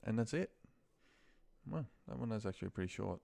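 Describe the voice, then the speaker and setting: Male, low and mellow, offhand self-talk for an informal screen walkthrough. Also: lively intonation, fairly quick, creaky, no echo. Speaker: office worker recording a spreadsheet walkthrough. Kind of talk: informal screen-recording walkthrough, thinking aloud.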